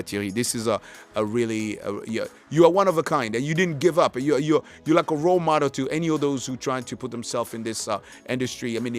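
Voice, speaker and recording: A man hosting a talk show, effusive and emphatic, often repeating his words. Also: mid-range voice. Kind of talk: conversational interviewing.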